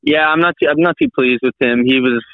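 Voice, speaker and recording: A man's voice: mid-range voice, no room sound. A young man in his twenties rambles through a casual podcast chat, stopping and restarting often. His voice comes over a thin, phone-like line with very little room sound. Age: 20s. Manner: rambling, halting, with many restarts and fillers. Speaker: guest.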